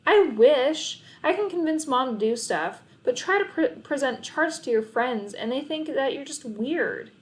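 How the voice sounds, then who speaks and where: Woman voicing an exasperated, high-pitched studious schoolgirl. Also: lively intonation, measured pace, few pauses. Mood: dejected. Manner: emphatic, exasperated. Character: studious, stressed, bossy schoolgirl sister.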